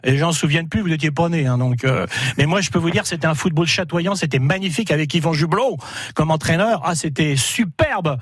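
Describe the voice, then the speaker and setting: Older man, lively high voice. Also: a little fast, husky, no room sound, clean studio sound. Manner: talkative, animated, chuckles often. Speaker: retired journalist, book co-author. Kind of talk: radio interview.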